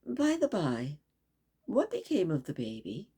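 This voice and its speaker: A woman voicing a sly, riddling cat, speaking softly with a teasing drawl in a storybook reading. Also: deep voice, huge pitch swings, unhurried, breathy edge, full character voice. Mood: languid. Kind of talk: storybook read-aloud.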